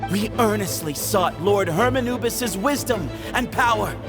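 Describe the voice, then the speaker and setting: A man's voice: high-pitched, expressive, a little slow, over music. An actor playing a confident young male temple heir in a video game, speaking in a self-assured and earnest way. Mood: earnest, resolute.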